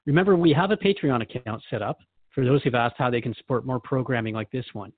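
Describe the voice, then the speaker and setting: Male talk host, mid-range pitch, earnest and reflective, conversational delivery, very dry sound. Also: fast talker, no room sound, narrow, tinny audio. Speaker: radio show host. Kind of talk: conversational interview with a spirit guide.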